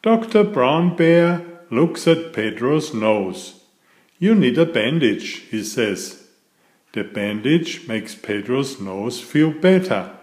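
Male children's storyteller, emphatic and lively in pitch, unhurried, recorded in an echoey room. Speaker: children's book reader. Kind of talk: expressive read-aloud storytelling for young children.

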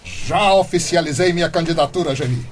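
A man's voice: on the high side, a little fast, a little hiss or hum, lightly acted. An actor playing a stubborn, indignant small-town man with grandiose political dreams in a Brazilian comic radio soap, blustering theatrically. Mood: boastful.

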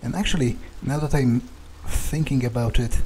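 A man's voice: medium pitch, normal speed, darkish tone, a little hiss or hum, low-quality recording. A man thinking aloud in character during a video game playthrough, in a hesitant, musing way. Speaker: gamer role-playing in character.

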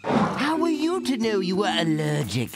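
A genteel, friendly cartoon ape with a hugely animated, swooping delivery. The speech is slow and articulate, with loud music underneath. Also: mid-range voice. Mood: genial. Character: refined, kindly talking ape. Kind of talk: exaggerated cartoon voice acting.

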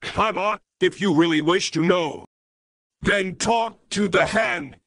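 A man's voice: sits high, takes it slowly, a bit bright, dry recording, studio quality. A man voicing a bold, brash teenage card-duelling hero in a theatrical, declamatory parody anime dub. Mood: triumphant.

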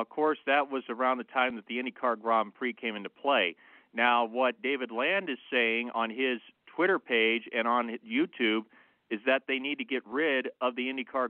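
A man giving measured, analytical, opinionated sports commentary in a conversational way, as a motorsport analyst on a radio show. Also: medium pitch, no room sound. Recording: phone-like, narrow-band dry line.

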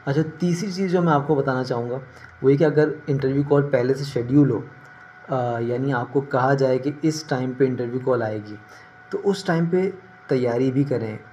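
A middle-aged man giving informal advice to camera, explaining in a measured way with pauses, recorded indoors on a phone. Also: medium pitch, warm tone, highs cut off. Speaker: career advice vlogger. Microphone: phone at arm's length. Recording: noticeable ambient background noise.

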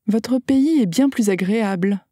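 A woman's voice: a little high, unhurried, warm tone, dry recording, clean studio sound. A woman carefully reading short phrases aloud for a language lesson, enunciating each one. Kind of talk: slow scripted phrase reading.

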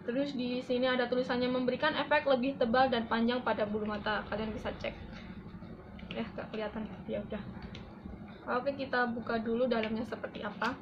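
Young woman, high voice, noisy recording. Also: steady tone, brisk. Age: young adult. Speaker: beauty vlogger. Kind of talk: casual product review to camera. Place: small room indoors. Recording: dull lo-fi audio with loud background noise.

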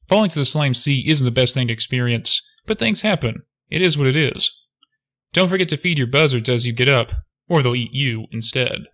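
An actor playing a gruffly kind old rancher reading his letter in a radio play, plain-spoken and reassuring. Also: mid-range voice, a bit bright.

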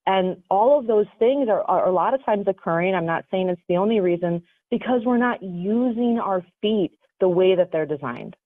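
A woman guest on a radio show giving casual, energetic advice, talking over a phone line. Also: mid-range voice, normal speed, few pauses, dry recording. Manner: energetic, chatty, self-correcting. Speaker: radio show guest, foot health coach. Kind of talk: casual explanatory radio talk.

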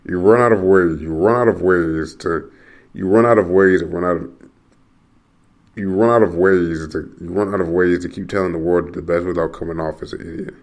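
A young American man with a very low voice hosts his own podcast alone. He rambles restlessly, circles back over the same phrases and swears now and then as he rants. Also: moderate pace. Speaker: podcast host. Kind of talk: rambling solo monologue.

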